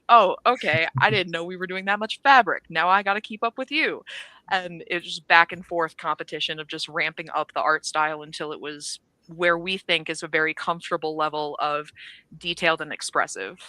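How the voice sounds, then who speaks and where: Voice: medium pitch, sharp tone, no room sound, low-quality recording. An interview guest casually telling stories about artwork, acting out bits of dialogue along the way.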